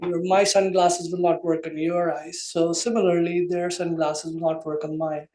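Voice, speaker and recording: A man's voice: on the high side, flat delivery, moderate pace, warm tone, reverberant, slight background noise. A man giving a heartfelt farewell speech as a departing member of a township committee, earnest and thankful. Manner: earnest, thankful, plain-spoken. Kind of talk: farewell speech at a public meeting. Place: township meeting room.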